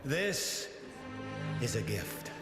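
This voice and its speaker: An actor playing a sanctimonious high priest in a video game, preaching theatrically against witches and heretics. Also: very animated. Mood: commanding, triumphant. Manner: grandiose, sanctimonious, slow and dramatic. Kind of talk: theatrical sermon to a crowd. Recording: cavernous reverberant hall, loud music behind.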